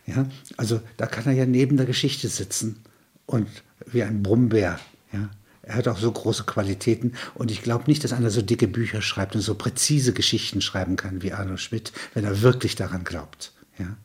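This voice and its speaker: An older man with a husky voice, speaking reflectively with frequent questioning tags. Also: fairly low pitch, fairly even intonation, normal speed. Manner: discursive, punctuated with questioning tags. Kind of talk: reading prose and reflective conversation.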